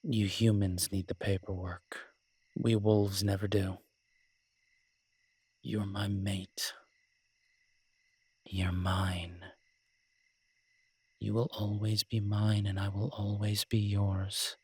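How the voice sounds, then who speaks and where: Male actor as devoted alpha werewolf lover for romantic audio drama: low, even, intimate, teasing and protective. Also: breathy edge, no room sound. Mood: tender, devoted. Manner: tender, teasing, unhurried pauses.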